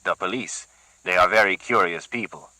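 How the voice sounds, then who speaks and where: Man voicing a low, slow-talking Puerto Rican gangster with a sharp, dry tone and broken English with a Puerto Rican Spanish accent. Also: no room sound, poor audio. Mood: cold. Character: Puerto Rican man, brooding, menacing gang leader.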